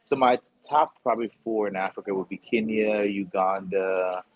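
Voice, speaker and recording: Rambling, laughing man with a lowish voice. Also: moderate pace, dull audio, a little hiss or hum. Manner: rambling, self-interrupting, laughs often. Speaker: host interviewing a stranger. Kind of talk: casual street conversation. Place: outdoors at the beach.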